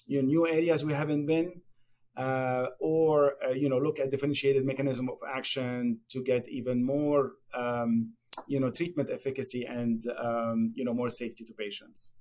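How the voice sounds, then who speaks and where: A middle-aged man from Lebanon with a Lebanese accent, answering as a guest on a podcast interview, speaking haltingly with many fillers and restarts. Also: mid-range voice, level tone, darkish tone. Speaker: pharmaceutical company executive, guest.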